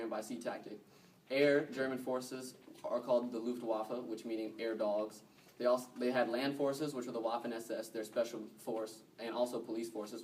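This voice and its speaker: A man's voice: medium pitch, a little mellow. Teenage boy presenting from far off, background noise. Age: teens. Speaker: student news presenter. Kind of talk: scripted school newscast report. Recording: noisy room, far from mic.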